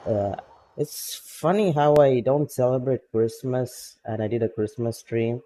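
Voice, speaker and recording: A man's voice: medium pitch, a little slow, dark tone, slight background noise. Laid-back man chatting over a game stream. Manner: laid-back, chatty, laughs often, greets chat by name. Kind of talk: casual gameplay stream chat.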